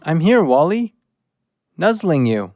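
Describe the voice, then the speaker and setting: Man playing an eager, devoted dog, higher-pitched. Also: sing-song, slow pace, a little mellow, slight background noise, full character voice. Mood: concerned. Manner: eager, simple, narrating in short phrases. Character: eager, devoted pet dog watching over his human. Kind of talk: audio drama acting.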